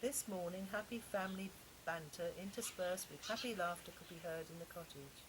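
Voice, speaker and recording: A woman's voice: fairly low pitch, normal speed. Older woman storyteller, very noisy recording. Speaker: author reading her own children's stories. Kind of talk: storybook narration for children. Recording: noisy home-style recording, constant background noise.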